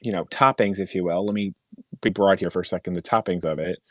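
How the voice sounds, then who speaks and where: A man co-hosting a podcast, talking in a measured way and hedging his points with "you know" and "um". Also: deep voice, steady tone, fairly bright tone, no echo, clean studio sound. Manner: measured, hedging, many fillers. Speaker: podcast co-host. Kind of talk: casual chat.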